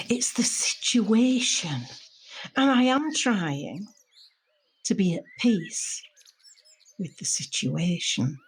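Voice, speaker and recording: An actress playing a lonely, anxious woman in her seventies in an intimate audio drama monologue, husky and confiding, often putting questions to herself. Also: mid-range voice, darkish tone, slight background noise. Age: 70s. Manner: reflective, confiding, with rhetorical questions. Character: lonely, anxious elderly mother shielding at home in lockdown.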